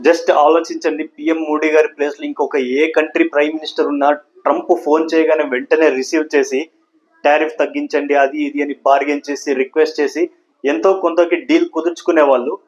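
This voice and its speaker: A man hosts a news explainer in a fairly high voice. He lays out points in an explanatory way, dropping English words into his sentences. The audio sounds a little dull and band-limited. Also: little pitch movement, fairly quick. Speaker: host of a news explainer channel. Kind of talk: news commentary explainer to camera.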